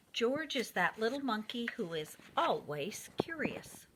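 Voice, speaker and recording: A woman reading a picture book aloud to young children in an expressive, deliberate manner, speaking directly to them. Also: fairly high pitch, a little hiss or hum, rough recording.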